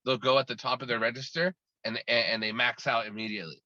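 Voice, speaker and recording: Bright-voiced, talkative man on a dull, dry line. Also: mid-range voice, steady tone, fast, no room sound. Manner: talkative and rambling, many restarts. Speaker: guest musician. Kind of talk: casual interview chat.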